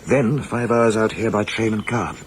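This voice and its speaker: A man playing a self-assured but deferential government minister in a radio drama, in a formal, measured way. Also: on the low side, a little hiss or hum, close to an everyday voice.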